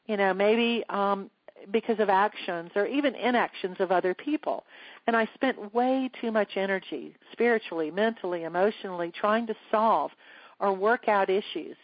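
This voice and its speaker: A woman's voice: mid-range voice, no echo, muffled recording. Earnest woman hosting a teleseminar. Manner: measured, earnest, scripted delivery. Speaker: leadership coach and seminar host. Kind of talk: teleseminar presentation.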